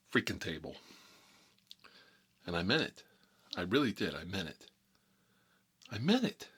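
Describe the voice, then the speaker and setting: A low, husky-voiced mob boss, acted out by a man in an ASMR comedy roleplay. He is hot-headed and talkative, grumbling in streetwise slang and circling back over the same phrases. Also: fairly bright tone, heavily acted. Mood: menacing, simmering.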